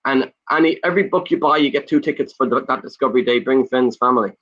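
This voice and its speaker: An Irish man on a video call makes an eager sales pitch, rattling off lists in quick bursts. His voice is bright and forceful, but the call audio is dull and lo-fi. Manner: fast, pushy, lists things off in rapid bursts. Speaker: guest, property investor. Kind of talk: enthusiastic sales pitch in conversation.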